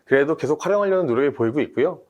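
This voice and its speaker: A man's voice: fairly high pitch, expressive, very fast, darkish tone, muffled recording. A man, a team leader, explaining things methodically in a webinar discussion, with frequent fillers and restarts. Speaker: team leader, industry expert guest.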